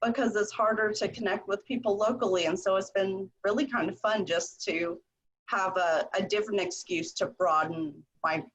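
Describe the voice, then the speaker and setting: A woman hosting a video call interview, talking in a relaxed, reflective way full of fillers. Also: medium pitch, normal speed, low-quality recording. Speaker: coach, host.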